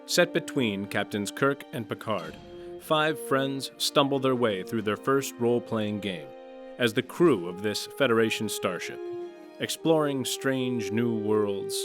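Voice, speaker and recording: A man reading a scripted show introduction in a measured announcer's manner. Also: medium pitch. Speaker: show narrator and player. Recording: music under the voice.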